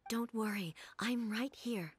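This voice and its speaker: A composed, stately priestess-like woman with a breathy, husky edge. She speaks formally and evenly in a poised, knowing way, as a video game character. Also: a little high, a little slow. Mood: calm reassurance.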